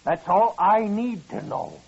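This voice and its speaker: A man playing an excitable, hot-headed townsman in an American radio western, blustering and indignant. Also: high voice, slow pace, creaky, slightly rough, full character voice. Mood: angry, defiant. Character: hot-headed, indignant Old West townsman bent on vengeance. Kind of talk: 1950s radio western acting. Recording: muffled old-time radio recording.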